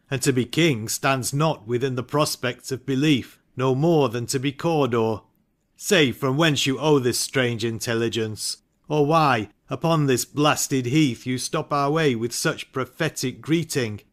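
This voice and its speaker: A man playing a commanding, ambitious warrior lord in a dramatic Shakespeare reading, speaking deliberately. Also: medium pitch, fairly bright tone, lightly acted.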